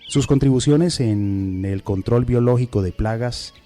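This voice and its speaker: A man's voice: mid-range voice, measured pace, mellow, faint music behind. A man with a Colombian accent hosting a radio interview, with formal and somewhat flowery phrasing.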